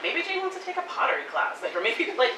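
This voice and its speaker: Bright-voiced woman, far off in an echoey room. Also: sits high. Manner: animated, fast, many "like" fillers, laughing. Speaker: audience member giving feedback. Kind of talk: casual spoken critique at a live table reading. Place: coffee shop event room. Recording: echoey room, far from the mic, faint noise.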